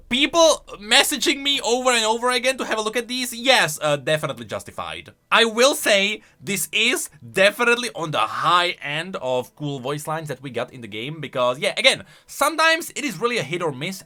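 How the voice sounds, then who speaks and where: Bright, animated man doing game commentary. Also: high-pitched, brisk. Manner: expressive, conversational, musing aloud. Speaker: video game lore commentator. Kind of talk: casual reaction commentary on game voice lines.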